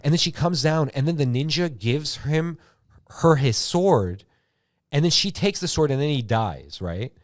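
A man's voice: a little high, unhurried, no echo. Slangy man rambling casually, dry close sound. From Iran. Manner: animated slangy storytelling with frequent "like" and swearing. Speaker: host of a YouTube show. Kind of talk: casual rambling movie chat.

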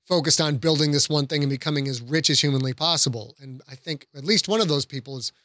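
A man's voice: fairly high pitch, fast, a bit bright, no echo, studio quality. A man, an author talking as a guest in a casual discussion and explaining his thoughts.

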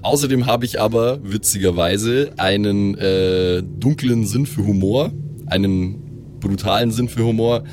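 A man's voice: lowish voice, unhurried, a little mellow. A man chatting casually and jokingly as a player in a tabletop role-play group. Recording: prominent music bed underneath. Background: background music.

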